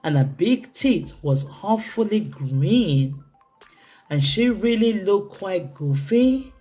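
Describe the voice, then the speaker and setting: A woman reading a children's picture book aloud in an expressive, theatrical way, with deliberate phrasing for young listeners. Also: mid-range voice, soft background music. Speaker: children's storytime reader.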